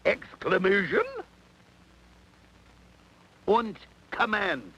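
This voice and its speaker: A man playing an eccentric, excitable cartoon mad scientist professor who lectures in a grandiose, deliberate, dramatic way. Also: high-pitched, husky, dull audio, heavily acted. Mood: grand lecturing.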